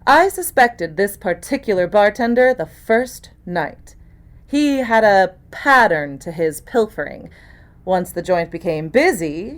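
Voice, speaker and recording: A woman reads a first-person mystery story in a mid-range voice. It is scripted audiobook narration, delivered by an actor as storyteller. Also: mid-range voice. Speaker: actor reading an audiobook chapter.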